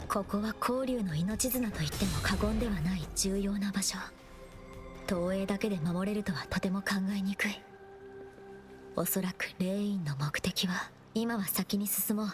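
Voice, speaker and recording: Clear game music plays under a young female explorer voiced by an actress. She muses to herself in a composed, measured way, like a game heroine working through a puzzle, at a middling pitch. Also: natural voice. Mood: hushed musing. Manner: measured, composed, quietly musing. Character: young female wanderer, calm, observant puzzle-solving explorer. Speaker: game protagonist. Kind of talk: scripted game voice acting, thinking aloud.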